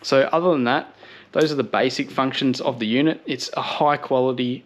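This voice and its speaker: An Australian man talks viewers through a product in a casual review style. His voice sits at a medium pitch. He sounds upbeat and approving as he explains things in everyday language. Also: steady tone, moderate pace.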